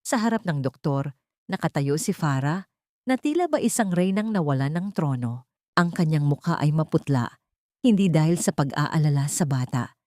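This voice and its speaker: A woman's voice: sits low, warm tone, dry recording, studio quality. A woman with a Filipino accent narrating a dramatic scripted story as an audiobook reader.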